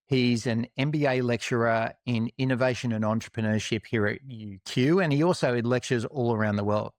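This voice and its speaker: A man's voice: medium pitch, no room sound, clean studio sound. An Australian man hosting a podcast, introducing the show and interviewing a guest in an upbeat, enthusiastic way. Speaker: podcast host.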